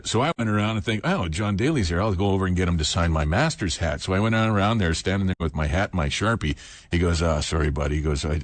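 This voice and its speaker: Man, somewhat low voice, dry studio-close sound, casual banter, crude joking storytelling. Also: no echo. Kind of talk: casual chat and anecdotes.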